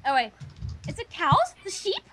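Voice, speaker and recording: Female co-reader for fanfic session. Extremely high, animated voice. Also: moderate pace. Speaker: guest co-reader. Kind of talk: reacting and voicing dialogue in fan fiction reading.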